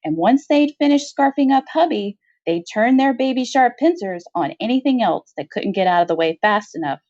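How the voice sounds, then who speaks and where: A woman reading a short story aloud as expressive scripted narration. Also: fairly high pitch, moderate pace. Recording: dull, roomy home recording.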